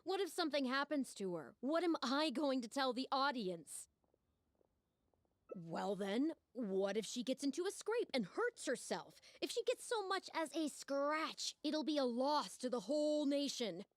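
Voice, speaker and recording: A woman's voice: sits high, sing-song, a little slow, no echo. A woman playing a fretful, exasperated theater manager in a fantasy video game, melodramatic and agitated. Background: game music.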